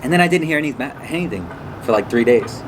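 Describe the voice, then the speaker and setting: A man's voice: medium pitch, lively intonation, fast. Chatty man telling a story. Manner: rambling, chatty, restarts sentences. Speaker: vlog host. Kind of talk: casual storytelling to viewers. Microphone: camera on a phone or camera nearby. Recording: noisy background.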